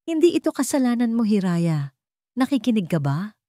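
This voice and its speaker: A woman's voice: a little high, sing-song, normal speed, mellow, no echo, clean studio sound, voice pushed up. A woman with a Filipino accent voicing a determined, protective male doctor in dramatic audio story dialogue, intense and pleading. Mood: firm pleading.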